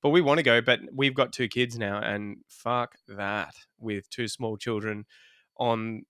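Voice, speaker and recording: Bright, clear-voiced man chatting casually. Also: medium pitch, a little slow, no room sound, studio quality. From Australia. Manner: laughs often, relaxed storytelling. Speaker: podcast guest, English teacher. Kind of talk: casual chat.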